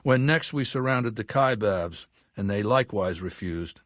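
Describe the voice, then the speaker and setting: Actor as imperious, cultured warlord, dryly recorded. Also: male voice, on the low side, lively intonation, no room sound, studio quality, close to an everyday voice. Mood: cold, instructive. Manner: imperious, erudite, measured, coldly matter-of-fact. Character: ruthless, cultured warlord dictator in middle age. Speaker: video game villain. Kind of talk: dramatic game dialogue monologue.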